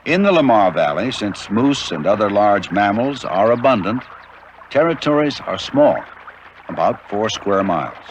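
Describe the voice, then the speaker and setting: A man narrating an educational nature documentary in a measured, informative way. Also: on the low side, highs cut off, slight background noise. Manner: measured, even, informative delivery. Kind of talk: scripted narration.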